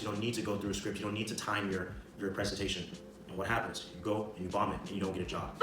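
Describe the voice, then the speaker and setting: A man giving casual motivational advice as a video creator, with an emphatic, repetitive, slangy way of talking. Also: lowish voice. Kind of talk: casual motivational talk to camera. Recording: roomy echo, distant mic, music behind. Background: music.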